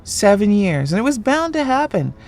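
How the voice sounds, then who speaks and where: A woman's voice: mid-range voice, very animated, moderate pace, a little mellow. An actress playing a blunt, impatient female friend in an audio drama, dry and sarcastic.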